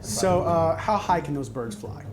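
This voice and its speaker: A man's voice: on the high side, expressive, unhurried, music in the background. A man playing a tabletop game, casually asking questions and chatting with the group. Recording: noisy room recording.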